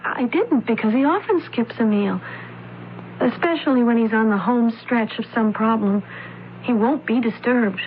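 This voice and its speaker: An actress playing a composed young daughter, matter-of-fact and measured, in a radio mystery drama. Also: female voice, a little high, natural voice. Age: young adult. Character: young adopted daughter, composed, recounting a death. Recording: noisy, tinny old radio broadcast.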